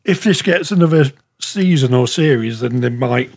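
A man's voice: mid-range voice, expressive, a little mellow, a little hiss or hum. Casual, rambling man on a podcast. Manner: halting, rambling, peppered with "you know". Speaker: podcast panellist reviewing shows. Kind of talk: casual chat.

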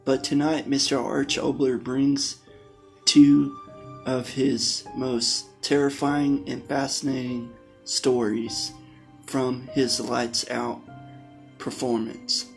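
Guy host reading an intro, mid voice, really slow n halting, faint guitar behind. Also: medium pitch, level tone, low-quality recording. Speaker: show host. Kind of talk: scripted narration introducing old radio plays. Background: plucked guitar music.

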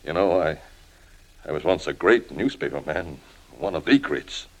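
A washed-up old newspaperman who drinks too much, in an old radio play. His voice is low, and he rambles haltingly, wheedling and pleading with wounded pride and self-pity. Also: expressive, darkish tone, rough recording. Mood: bitter, impassioned. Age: older. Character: washed-up alcoholic old crime reporter, self-pitying, wheedling, proud. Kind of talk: 1950s radio drama acting.

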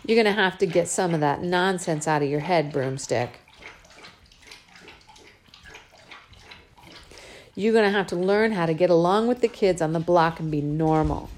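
A woman's voice: lowish voice, fairly quick, few pauses, a little mellow, a little hiss or hum, natural voice. A woman reading a loving but stern middle-aged father in an audiobook, scolding then tender, with folksy slang. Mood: firm. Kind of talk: audiobook character voice.

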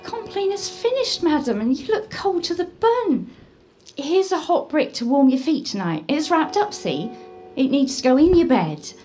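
An actress plays a bustling medieval maidservant in an audio drama, caring and a bit bossy. Her voice is high and very animated, with brisk, firm fussing. Faint music plays behind. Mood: concerned, fussing.

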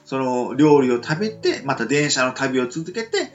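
A man's voice: medium pitch, very animated, measured pace, highs cut off, soft background music. A man co-hosting a casual radio chat, rambling with many fillers. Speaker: radio show co-host.

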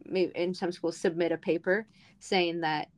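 A woman's voice: on the low side, steady tone, moderate pace. A young woman and co-host talking earnestly and at length in a casual chat, with frequent "um" and "like". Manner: earnest, talkative, many fillers.

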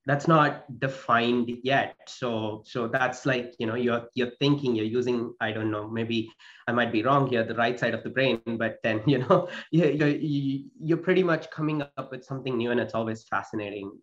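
A man's voice: medium pitch, moderate pace, a bit bright, highs cut off. A man from southern India, a rock singer speaking as an interview guest in a hesitant, searching way. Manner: hesitant, full of "you know" fillers. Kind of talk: casual interview.

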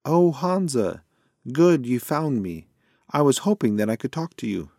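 A man voicing a kindly, frail elderly male mouse in a children's storybook, gentle and apologetic as he rambles. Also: medium pitch, sing-song, unhurried, no echo, clean studio sound, pitched above the performer's own voice. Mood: warm, wistful.

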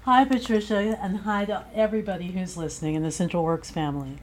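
A woman's voice: medium pitch, steady tone, quick pace, rough recording. A woman speaking as an interview guest, talking conversationally about her work as a playwright and director. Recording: clear background noise.

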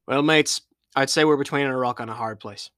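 Heard in a dry, close recording, a man playing a commanding pirate captain booms out his words with a brash, slangy swagger, and his voice lifts a little above an ordinary middle pitch when he shouts. He is gruff and sure of himself. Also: a little fast, dry recording, natural voice. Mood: wry. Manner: brash, loud, sailor slang.

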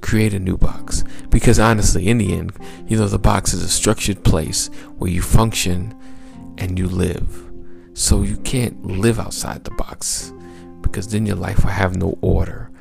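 A man hosting a motivational podcast as a mindset coach, with a smooth, gravelly low voice and upbeat, repetitive exhortations. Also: fairly even intonation, measured pace, darkish tone, faint music behind.

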